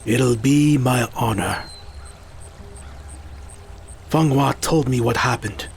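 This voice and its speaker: A man's voice: mid-range voice, slow pace, gravelly, slight background noise. A man playing a loyal, solemn village musician who speaks formally and earnestly in an audio drama.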